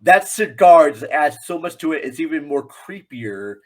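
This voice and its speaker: A man's voice: a little high, big pitch swings, normal speed. A man chatting casually about films as a podcast guest, rambling and enthusiastic. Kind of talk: casual film discussion.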